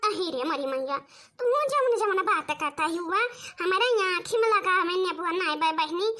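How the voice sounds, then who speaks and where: Squeaky, childlike cartoon village woman, Awadhi-accented. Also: lively intonation, moderate pace, pushed and bright, heavily acted. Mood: exasperated. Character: excitable village woman begging her sister-in-law for remedies. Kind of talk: comic cartoon dialogue in rural dialect.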